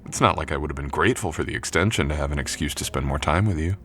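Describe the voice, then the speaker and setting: A very low-voiced man acting as a college jock, young, protective and tender. He talks in an intimate boyfriend ASMR style, softly teasing and reassuring, with noticeable noise behind the voice. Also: rapid-fire. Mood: teasing, affectionate. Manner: soft, teasing, gently reassuring. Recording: clearly audible background noise.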